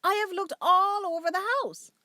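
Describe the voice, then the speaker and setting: A woman's voice: very high voice, moderate pace, bright, forceful tone, no room sound, studio quality, voice pushed up. A woman voicing an elegant little female dog in a storybook, eagerly talking things over with herself. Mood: puzzled, anxious. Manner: eager, puzzled self-talk.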